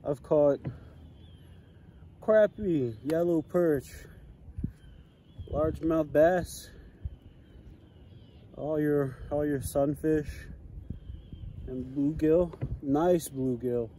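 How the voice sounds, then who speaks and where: A man's voice: on the high side, drawn-out words, long pauses, warm tone. Laid-back young man vlogging from a kayak. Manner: laid-back, chatty, thinking aloud. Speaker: fishing vlogger. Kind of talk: casual outdoor vlog. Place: kayak on a lake outdoors. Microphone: chest-mounted action camera. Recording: faint wind and water noise.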